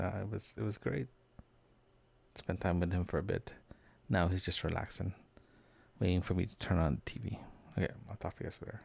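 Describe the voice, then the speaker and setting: A man talks off the cuff, as if keeping a personal audio diary. His voice sits on the low side with plenty of fry, and the sound is dry and close. He wanders from one aside to the next, halting as he goes. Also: mellow, dry recording. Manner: rambling, halting, trailing asides. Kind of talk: casual, unscripted spoken diary.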